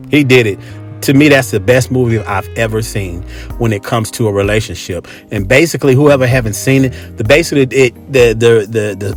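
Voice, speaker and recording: An American man hosting a podcast, giving a casual, opinionated monologue in an emphatic, repetitive, slangy way. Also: medium pitch. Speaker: podcast host.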